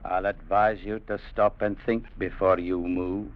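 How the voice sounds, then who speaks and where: An actor playing a firm, plainspoken young clerk who also narrates, in 1940s radio drama style on an old recording. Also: male voice, deep voice, flat delivery, unhurried, muffled recording. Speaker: narrator and lead.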